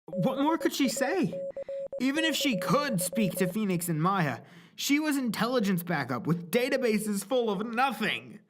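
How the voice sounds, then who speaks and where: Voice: medium pitch, very animated, measured pace, noisy background. A narrator reading a scripted story in a dramatic style.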